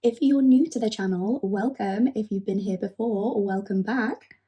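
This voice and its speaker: A woman recovering from a cold, gently and thoroughly introducing a tarot reading. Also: mid-range voice, normal speed. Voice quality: husky. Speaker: tarot reader.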